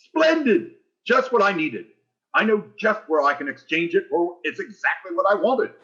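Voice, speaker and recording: An actor playing a pompous, blustery, grasping older school principal in a vintage radio sitcom style. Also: male voice, high voice, big pitch swings, moderate pace, bright, forceful tone, dull audio, heavily acted. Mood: greedy delight.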